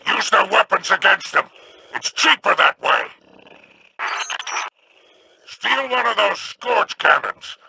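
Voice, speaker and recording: Gravelly, scheming mercenary, wry, clear hiss in game audio. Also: male voice, sits high, lively intonation, takes it slowly, long pauses, sharp tone, full character voice, low-quality recording. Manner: wry, calculating. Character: shrewd, stingy male mercenary, scheming. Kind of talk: video game character dialogue.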